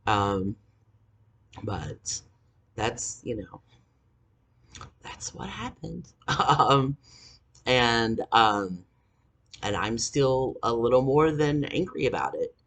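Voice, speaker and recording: A man in his forties telling a personal story to camera, halting with fillers and angry swearing. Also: medium pitch, big pitch swings, a bit bright. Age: 40s. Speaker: vlogger. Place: indoors, dim room.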